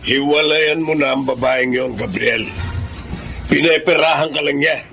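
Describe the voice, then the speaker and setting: A man's voice: on the high side, steady tone, a little slow, creaky, music in the background. A Filipino man playing a blunt, grave, protective older brother in a radio drama. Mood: blunt, urging.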